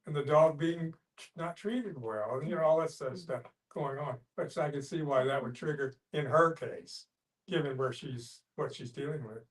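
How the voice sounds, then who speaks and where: A man reflecting aloud in a casual group discussion, haltingly and with some repetition. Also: fairly high pitch. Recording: voice picked up from a distance.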